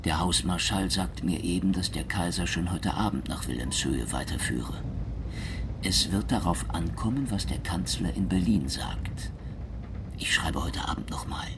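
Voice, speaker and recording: A man playing an imperial court officer who confides in letters home, in a measured radio drama reading. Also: deep voice, lively intonation. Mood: measured, intimate. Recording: clearly noisy ambience.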